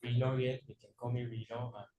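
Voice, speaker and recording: A man in his twenties introducing himself and asking a question from the audience, soft-spoken and hesitant. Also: mid-range voice, little pitch movement, fast, reverberant, narrow, tinny audio. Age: 20s.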